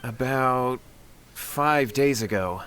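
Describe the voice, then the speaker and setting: A man's voice: medium pitch, slow pace, fairly bright tone, slight background noise. Anxious, earnest young man. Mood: insistent. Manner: earnest, deferential, worried. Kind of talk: video game character acting.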